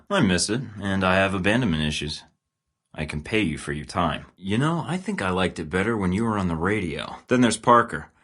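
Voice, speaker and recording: Cocky rogue agent, very deep voice, sarcastic, clipped delivery, game dialogue. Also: male voice, fairly bright tone. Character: cocky male rogue spy, sardonic and defiant. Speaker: secret agent protagonist. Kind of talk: video game voice acting. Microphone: studio recording.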